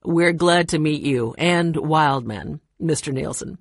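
A woman voicing an elderly grandfather with a warm, gently teasing manner. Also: deep voice, a little slow, dry recording, studio quality. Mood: kindly. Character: kind, teasing old grandfather.

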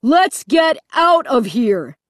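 A woman's voice: mid-range voice, sing-song, a little slow, dry recording, clean studio sound. A woman voicing an indignant, suspicious father in an audiobook, speaking in a blunt, demanding way. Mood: angry, alarmed.

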